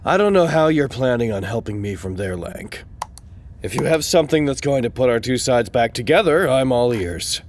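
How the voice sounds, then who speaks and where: A man's voice: medium pitch, animated, normal speed, a little hiss or hum, close to an everyday voice. A man playing a stubborn, unimpressed holdout in an audio drama, speaking tersely and firmly. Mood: dismissive. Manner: terse, firm.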